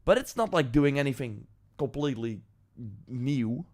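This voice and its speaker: A man's voice: medium pitch, lively intonation, normal speed, a little mellow. A man with a Dutch accent, a native Dutch speaker, hosting a live stream, reading viewer comments and rambling loosely with many ums and likes. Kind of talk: casual live-stream talk, reading chat.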